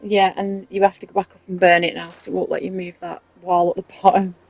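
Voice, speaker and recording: A woman's voice: fairly low pitch, little pitch movement, fast talker, a little mellow, poor audio. A woman with a strong Mancunian accent, casually talking through a game building tutorial step by step. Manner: chatty, explaining step by step. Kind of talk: casual commentary over gameplay.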